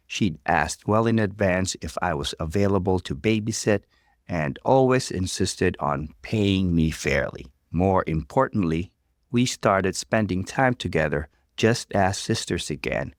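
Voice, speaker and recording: A man reading a story aloud as scripted, expressive narration. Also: sits low, measured pace, no room sound, studio quality.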